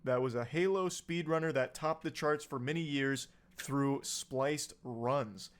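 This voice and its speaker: A man narrating a scripted commentary video in a sarcastic, energetic storytelling manner. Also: medium pitch, unhurried.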